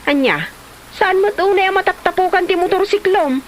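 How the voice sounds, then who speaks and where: A woman plays a scolding Ilocano wife in a comedy drama. Her voice is extremely high, nagging and exclamatory with exasperation. The recording has clear background noise. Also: moderate pace. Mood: questioning, nagging. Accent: Ilocano Filipino. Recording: noisy amateur drama recording.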